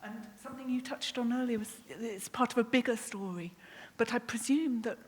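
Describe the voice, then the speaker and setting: A woman with a husky voice puts an informal question to a panel from the audience. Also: a little high, brisk. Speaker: audience member. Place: large panel hall, public address microphone. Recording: echoey hall with long reverb.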